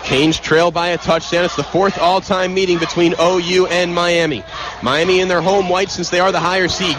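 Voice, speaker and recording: A man with an American accent calling live radio basketball play-by-play from an arena, energetic and detailed, getting excitable on big plays. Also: a little high, background noise. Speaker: play-by-play sports broadcaster.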